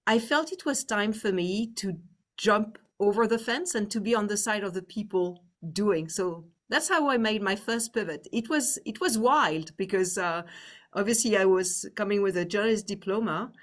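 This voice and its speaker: Woman, 50s, French accent; podcast guest, reflective, pausing now and then. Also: mid-range voice. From France. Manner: thoughtful, reflective, frequent "um" pauses. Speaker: guest executive. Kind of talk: interview conversation.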